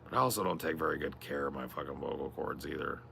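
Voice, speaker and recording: A man with a strained, raspy voice chatting casually on a stream, rambling and swearing a lot. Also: a bass voice, fast, pushed and bright, slight background noise. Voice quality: gravelly. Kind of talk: casual chat.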